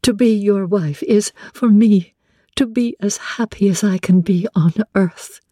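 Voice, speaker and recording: A woman voicing a devoted, earnest young woman in love, softly and tenderly, in a dramatic reading of classic literature. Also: medium pitch, measured pace, dry recording, clean studio sound, voice pushed up, lightly acted. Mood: tender, solemn. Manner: soft, breathy, tender and respectful.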